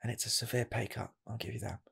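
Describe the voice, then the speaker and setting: A man playing a breathy, hesitant rocket scientist. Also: fairly low pitch, steady tone, quick pace, heavily acted. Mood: torn. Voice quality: breathy, half-whispered. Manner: soft, hesitant, rambling with restarts. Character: earnest male rocket scientist job applicant. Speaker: ASMR roleplay creator. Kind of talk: ASMR personal attention roleplay.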